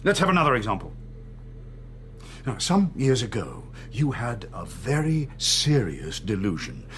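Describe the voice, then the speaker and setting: A middle-aged man acting a cold, controlled interrogator in a radio drama, measured, didactic and condescending. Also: medium pitch, big pitch swings, fairly bright tone, slight background noise. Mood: patient, condescending. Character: cold, controlled party interrogator and torturer, patronising and menacing.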